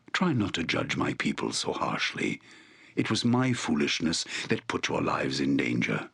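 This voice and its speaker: Weary exiled shaman for a fantasy game, super deep n husky, grave and slow-talking. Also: fairly bright tone, heavily acted. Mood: sorrowful, resigned. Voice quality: gravelly, husky, breathy at phrase ends. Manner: grave, deliberate, formal archaic phrasing. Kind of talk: dramatic fantasy game voice acting.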